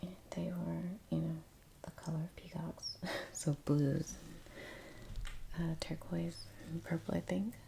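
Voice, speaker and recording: A woman speaking very softly and breathily, often near a whisper, in halting, casual ASMR musing while she works. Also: sits low, flat delivery, vocal fry, background noise. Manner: hushed, halting, unhurried. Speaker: ASMR artist hosting a painting session.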